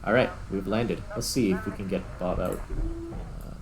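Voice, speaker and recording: A man giving casual gameplay commentary, thinking aloud in short, halting remarks. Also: fairly low pitch, huge pitch swings, crowd noise. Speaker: gaming host.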